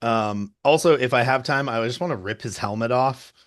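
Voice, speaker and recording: A man's voice: medium pitch, normal speed, fairly bright tone. A man playing a tabletop role-playing game, talking casually and thinking aloud about his moves with a joking tone. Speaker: player.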